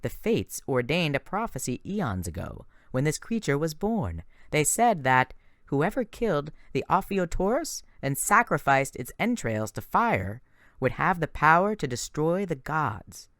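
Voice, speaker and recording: A grave huntress with formal, old-fashioned speech, as a man voices her in a storybook. She speaks a little higher and slower than the narrator, with a lively, bright tone. The recording is dry and close. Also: no echo, heavily acted. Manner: formal archaic wording, grave. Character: solemn ancient young huntress, archaic speech.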